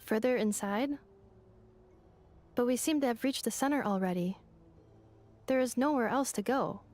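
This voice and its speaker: A woman voicing a calm, earnest female android in a game, speaking in a precise, formal manner. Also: on the high side, normal speed, soft background music, natural voice. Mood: puzzled.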